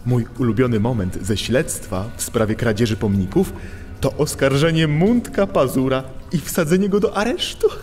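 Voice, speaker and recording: A man's voice: mid-range voice, normal speed, a little mellow, close to an everyday voice. An actor playing a smug, mocking animal thief and gang boss in a children's audio drama, savoring his words theatrically. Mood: smug, taunting. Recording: long cavernous reverb, music behind.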